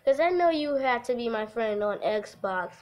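A woman's voice: on the high side, lively intonation, normal speed, a little mellow. A giggly woman hosting a casual game livestream, chatting and reading aloud from a visual novel. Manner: giggly, breaks off into laughter. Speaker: livestream host.

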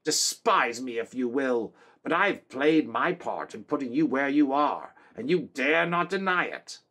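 A feeble, roupy old man with a high, thin voice that wheedles and whines. He wheezes and pleads, sly and self-pitying, a storybook villain grasping for favor. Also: expressive, a little slow, sharp tone. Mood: wheedling, self-pitying.

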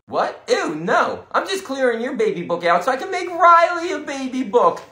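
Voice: high voice, big pitch swings, moderate pace, pushed and bright, exaggerated voice. A performer voicing an exasperated, doting suburban mom who scolds and gushes in a comedy cartoon. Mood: dismissive. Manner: scolding, gushing, dramatic. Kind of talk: exaggerated comedy cartoon voice acting.

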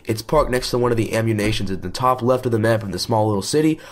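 A young man with a raspy voice, sick and losing it, doing an upbeat, chatty game guide voice-over. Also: fairly low pitch, quick pace. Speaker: gaming YouTuber.

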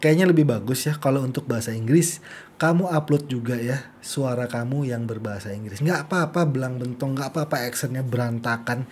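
An Indonesian man in his thirties presenting a casual tutorial in an informal, excitable way, close to a studio microphone. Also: mid-range voice, fairly quick, a little hiss or hum. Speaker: YouTube tutorial host. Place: small indoor studio. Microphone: microphone close in front of him.

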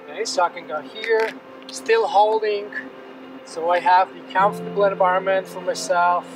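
High-voiced coach heard from afar outdoors. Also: male voice, normal speed, noisy background. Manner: calm, encouraging, repeats words often. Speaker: fitness coach. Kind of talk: guided stretching instruction. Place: outdoors on a windy seaside headland. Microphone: far camera. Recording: distant outdoor audio with music.

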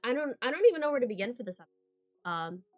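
A young woman with a slightly high voice talks casually from a home streaming setup, breaking down details with plenty of uh's. Faint music plays underneath her. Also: big pitch swings, fast talker, dull audio. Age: young adult. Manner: analytical, lots of uh fillers and trailing off. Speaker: co-host reacting to an anime episode. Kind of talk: casual chat.

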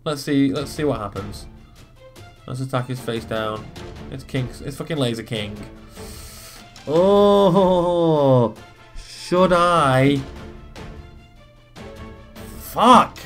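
A man's voice: medium pitch, animated, takes it slowly, long pauses. A man giving casual let's play commentary, swearing freely and thinking his moves through aloud. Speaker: gaming video host. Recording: game music behind the voice. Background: video game music.

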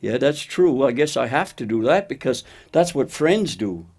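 A man voicing a steady, kindly cow who talks plainly and patiently, gently teaching, in a children's puppet show. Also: mid-range voice, moderate pace. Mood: modest. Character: steady, faithful cow, a wise kindly friend.

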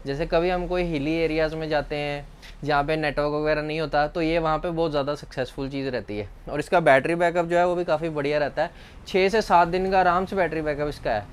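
An Indian man in his thirties presents a product explainer vlog. His voice sits slightly high for a man, with a very clean, resonant tone. He talks informally and explains as he goes, as a shop seller would to a customer. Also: steady tone, a little fast, clean tone. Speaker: vlog host and bike accessories seller. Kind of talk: product explainer vlog to camera, Hindi-English mix. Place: small indoor accessories shop.

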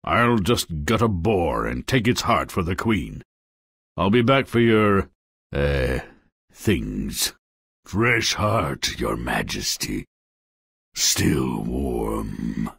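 Gravelly roguish huntsman. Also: very deep voice, very animated, extremely slow, a bit bright, no room sound, studio quality, full character voice. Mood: sly, bargaining. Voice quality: gravelly, husky. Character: roguish huntsman, lecherous man with few scruples. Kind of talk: video game character acting.

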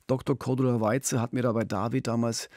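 A man's voice: medium pitch, level tone, brisk, a little mellow, no room sound. A middle-aged man co-hosting a true-crime podcast in a conversational storytelling style, close on a studio mic. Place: small radio studio. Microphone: close studio microphone with pop filter, headphones.

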